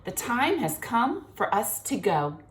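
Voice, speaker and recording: A woman's voice: fairly high pitch, sing-song, unhurried, a little hiss or hum, voice pushed up. A woman reading aloud as a long-winded, grandiose father swan in a children's story, speaking with theatrical grandeur. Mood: grandiloquent.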